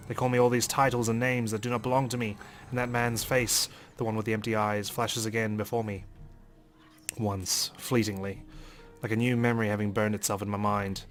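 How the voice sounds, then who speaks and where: Guy with a lowish voice reading visual novel narration out loud, dramatic storyteller style. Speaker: let's player.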